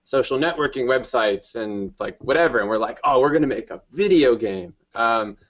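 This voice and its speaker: A male game developer from New Jersey talks easily as a guest, wandering through stories with plenty of "like" and "um." His voice sits at an ordinary mid pitch. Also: lively intonation, moderate pace, a bit bright. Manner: rambling, filler-heavy. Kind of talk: casual interview chat.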